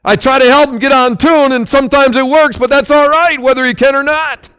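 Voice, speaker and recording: A man preaching a sermon as pastor, loud and emphatic, with sudden swells and drops in his delivery. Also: very high-pitched, steady tone, a little fast.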